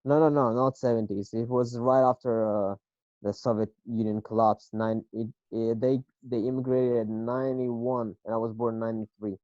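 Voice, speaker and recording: A young man in his late twenties with an Israeli accent talks slowly and haltingly, pausing on fillers as he searches for English words. His voice is dark and mellow, close and dry, with a slightly dull, lo-fi sound. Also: mid-range voice, fairly even intonation, dry recording. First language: Hebrew. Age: late 20s. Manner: hesitant, halting, many uh fillers. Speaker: guest boxer. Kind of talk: casual interview answers.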